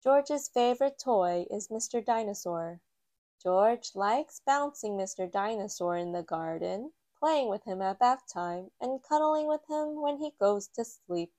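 A woman reading a children's story aloud as a storyteller, with careful, deliberate phrasing. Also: medium pitch, lively intonation, dark tone. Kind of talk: children's read-aloud narration.

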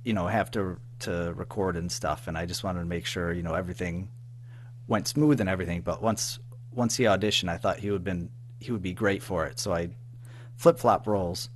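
A man with a fairly deep voice chats as an interview guest. He speaks in a halting way, repeating words and letting phrases trail off. A faint hum sits under the recording. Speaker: audio drama writer-producer, interview guest. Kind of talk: casual interview chat. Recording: faint background noise and hum.

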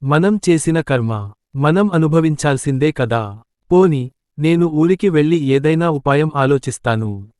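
A proud, steadfast village farmer and father, acted by a man in a moral tale. The voice is on the high side for a man, recorded close and dry. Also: animated, unhurried, darkish tone, clear, resonant tone, dry recording. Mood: resolute. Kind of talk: scripted moral story dialogue.

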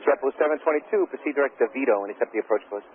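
A man's voice: medium pitch, rapid-fire, phone-quality sound. A man working as an air traffic controller, speaking in clipped phraseology over aviation radio.

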